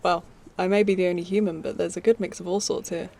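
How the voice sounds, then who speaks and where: Earnest low-voiced woman acting a space traveller. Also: flat delivery, fast, gravelly, darkish tone. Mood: friendly, relaxed. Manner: earnest, confiding. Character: troubled, grieving human woman, a displaced space traveller. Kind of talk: science-fiction audio drama acting.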